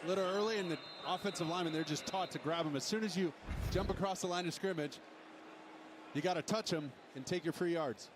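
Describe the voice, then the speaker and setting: Higher-voiced male football analyst in stadium noise. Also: quick pace. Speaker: TV colour commentator. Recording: broadcast feed with clear stadium noise.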